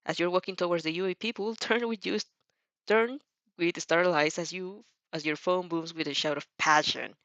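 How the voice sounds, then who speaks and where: A woman's voice: lowish voice, moderate pace, fairly bright tone, dry recording, lo-fi sound. A woman streamer talking informally and reading fan fiction aloud with expression. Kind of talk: informal livestream chat and expressive story reading.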